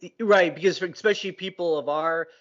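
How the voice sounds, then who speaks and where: Male interviewer who stutters, relaxed informal wording, occasional repeats, slightly lo-fi sound. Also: high-pitched, fast. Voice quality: occasional word repetitions of a stutterer. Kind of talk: casual conversational interview.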